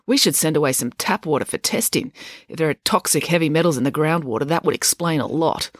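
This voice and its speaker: A woman voicing a brash, sarcastic young girl in a storybook, loud, declamatory and argumentative. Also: sits low, lively intonation, quick pace, no echo, clean studio sound. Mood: scornful.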